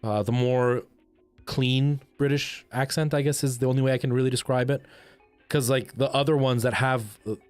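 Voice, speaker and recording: A man's voice: medium pitch, steady tone, moderate pace, clear, resonant tone, dry recording. Chatty man with a light Canadian accent. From El Salvador. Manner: chatty, rambling, lots of restarts and fillers. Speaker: livestreamer. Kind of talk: casual live-stream chat with viewers. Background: faint music.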